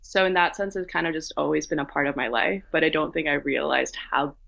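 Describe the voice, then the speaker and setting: A woman, from Denmark, co-founder of a consultancy, answering interview questions in a relaxed way full of "like" fillers. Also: sits low, fairly quick, gravelly, no echo.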